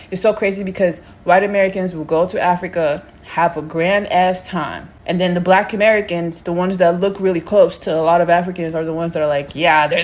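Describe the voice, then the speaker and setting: Emphatic woman, low, incredulous questions. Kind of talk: casual monologue.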